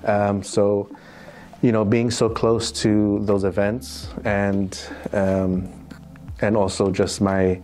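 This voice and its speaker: A man with a New York accent gives a casual interview answer. His voice sits low and sounds mellow and relaxed. He hesitates often, with ums and restarts, and faint music plays behind him. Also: slow pace. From New York City. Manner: hesitant, many fillers and restarts. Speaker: interview guest, financial crime specialist.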